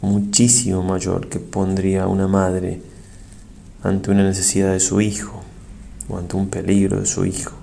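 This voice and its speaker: A man, a priest, leads a guided prayer meditation in a solemn, reverent and measured way, pausing between short phrases. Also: deep voice, completely flat delivery, vocal fry, warm tone, slight background noise.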